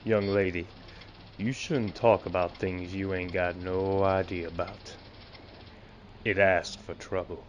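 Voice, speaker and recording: A surly small-town bus driver, acted by a man for a radio play. The voice is very low and flat, with slow, curt, threatening delivery. Faint noise sits behind it. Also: dull audio. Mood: menacing.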